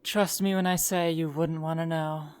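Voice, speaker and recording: Actress as clinical female poisoner for fantasy drama: slightly low, detached, confident. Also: fairly even intonation, moderate pace, darkish tone, smooth, lightly acted. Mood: cold, assured. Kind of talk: audio drama acting.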